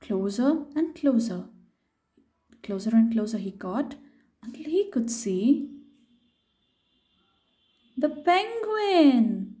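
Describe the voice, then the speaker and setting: A woman reading a picture book aloud to children in an expressive, unhurried way, pausing to put questions to her young listeners. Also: on the high side.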